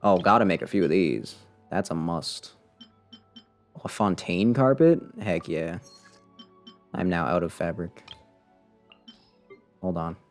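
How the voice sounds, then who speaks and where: A man's voice: deep voice, expressive, slow, long pauses, soft background music. A man giving casual live commentary as a game streamer, rambling and muttering to himself with playful sarcasm.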